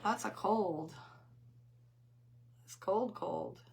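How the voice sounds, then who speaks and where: Hoarse, squeaky older woman chatting. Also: drawn-out words, noisy background. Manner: chatty, rambling, reads viewer comments aloud. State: hoarse, losing her voice. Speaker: craft livestream host. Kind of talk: casual livestream chat while painting.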